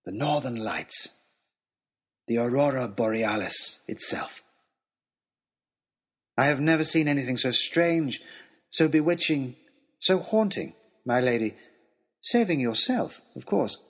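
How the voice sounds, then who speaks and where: A man playing an earnest, lovestruck gentleman adventurer who tells his journey in formal, old-fashioned, expressive storytelling. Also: mid-range voice, a little slow, pauses often. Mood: awed. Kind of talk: dramatised first-person storytelling, as a letter to a lady.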